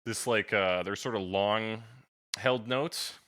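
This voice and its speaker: A man's voice: on the low side, slow pace, fairly bright tone, no echo, studio quality. A man co-hosting a podcast, talking casually about music with informal, joking banter. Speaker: podcast co-host. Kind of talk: casual music discussion chat.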